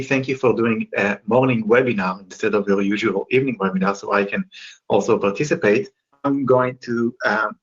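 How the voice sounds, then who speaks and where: A man with an Israeli accent, a company co-founder presenting his product as a webinar guest in a clear, structured way. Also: fairly low pitch, muffled recording.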